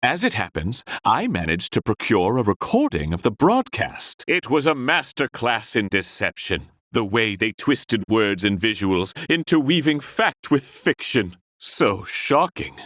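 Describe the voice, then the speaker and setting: Florid, swooping swindler tutor. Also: male voice, fairly low pitch, big pitch swings, measured pace, few pauses, a bit bright, no echo, clean studio sound, full character voice. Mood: grandiose, sly. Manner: florid, grandiose, ingratiating. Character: theatrical swindler tutor peddling lessons in deception. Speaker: game character voice actor. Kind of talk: scripted video game dialogue.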